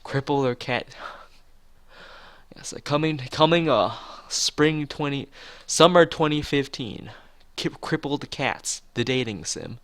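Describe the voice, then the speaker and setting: A man's voice: mid-range voice, slow pace, a bit bright, no room sound. A man streaming a visual novel, reading the narration aloud and chatting casually with joking asides to viewers.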